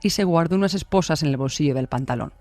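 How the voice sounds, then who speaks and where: A man's voice: fairly high pitch, quick pace, darkish tone, dry recording. A man delivering a dramatic, scripted true-crime narration. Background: music.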